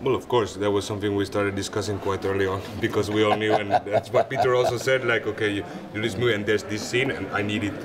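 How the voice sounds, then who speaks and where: A man speaking English as a guest in a casual interview, relaxed and laughing often, with frequent likes and yeahs. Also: fairly low pitch, fast, hardly any pauses, over a noisy crowd.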